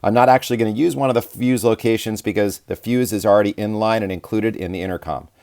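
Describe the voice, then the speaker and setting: A man's voice: on the low side, steady tone, fast, darkish tone. Casual man explaining a hands-on install. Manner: informal, explanatory, addressing viewers as guys. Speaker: DIY off-road channel host.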